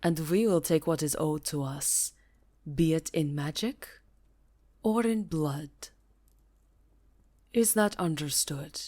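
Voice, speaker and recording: A woman's voice: deep voice, slow, long pauses, darkish tone. A woman voicing a haughty, menacing vampire countess with a silky, imperious delivery in a fantasy roleplay. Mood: cold, threatening. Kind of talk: fantasy ASMR roleplay acting.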